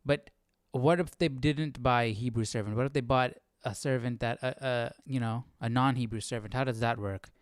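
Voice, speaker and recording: A man's voice: mid-range voice, fairly even intonation, normal speed, hardly any pauses, dry recording, studio quality. A man hosting a Bible study livestream, reading aloud and commenting casually, often questioning and checking for agreement.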